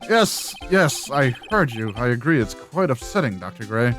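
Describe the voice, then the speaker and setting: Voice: mid-range voice, sing-song, normal speed, a bit bright, soft background music, pitched below the performer's own voice. An amateur dubber voicing a weary, sardonic young male defense lawyer in a video game, dry and hesitant. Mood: wry, reluctant.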